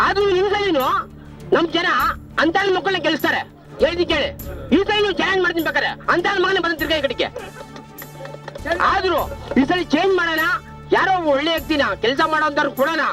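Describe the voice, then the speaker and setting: A man with a very high voice talks quickly in a southern Karnataka Kannada accent over faint music. He is blunt and exhorting, like a resident urging his neighbours on in the street. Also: bright, forceful tone. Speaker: local resident addressing voters. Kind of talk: spontaneous street speech.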